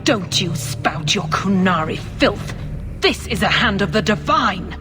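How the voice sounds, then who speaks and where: A woman's voice: mid-range voice, lively intonation, unhurried, over music, lightly acted. A woman playing a zealous, self-righteous young cleric with grandiose, preaching delivery in a fantasy video game. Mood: indignant.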